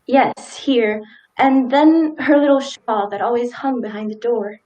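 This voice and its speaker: A woman's voice: on the high side, steady tone, unhurried, warm tone, lightly acted, rough recording. A woman in a radio play acting a timid, dutiful, law-abiding small-town wife with hesitant, folksy old-fashioned speech.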